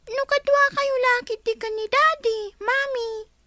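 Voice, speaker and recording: A woman's voice: extremely high pitch, steady tone, unhurried, sharp tone, no room sound, voice pushed up, heavily acted. A woman voicing a small, sweet child talking to mother in a radio drama. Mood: hesitant, eager.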